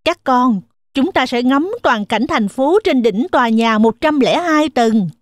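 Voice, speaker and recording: A woman's voice: high-pitched, expressive, a little slow, no echo, clean studio sound. A woman voicing a protective, stern mother goat in a children's cartoon, speaking firmly. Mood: patient.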